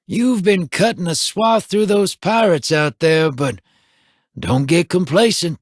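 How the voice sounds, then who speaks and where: An actor playing a wry, streetwise male veteran in a video game, teasing and casual with a husky drawl. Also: high-pitched, measured pace, dry recording, clean studio sound.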